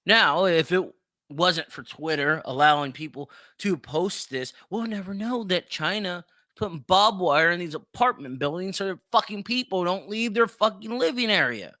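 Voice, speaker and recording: A man's voice: sits high, lively intonation, moderate pace, sharp tone, no room sound, studio quality. A man hosting a podcast of opinionated solo commentary, speaking emphatically with plenty of swearing and repeating himself for emphasis. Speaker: podcast host.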